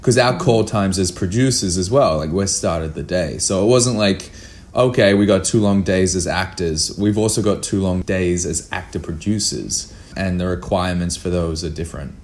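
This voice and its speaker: Low-voiced man chatting candidly. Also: normal speed, a little mellow. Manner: candid, informal, mildly sweary, self-interrupting. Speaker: actor and producer guest. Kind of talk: casual interview chat.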